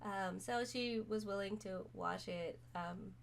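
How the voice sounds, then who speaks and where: A woman streamer chatting casually to camera, hoarse from being sick, rambling with many pauses and fillers. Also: medium pitch, a little hiss or hum. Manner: rambling, many ums, soft-spoken. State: hoarse, recovering from illness.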